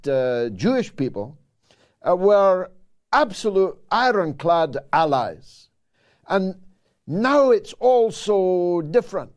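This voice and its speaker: Older host in his sixties from Britain, orotund and declamatory, slow measured delivery. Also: on the high side, a little mellow. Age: 60s. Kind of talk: political commentary show.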